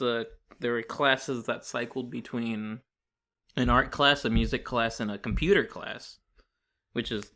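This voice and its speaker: A man's voice: medium pitch, a bit bright. A young man co-hosting a podcast in casual comedic chat, rambling through his stories with plenty of swearing. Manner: joking, profane, rambling storyteller. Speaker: podcast co-host.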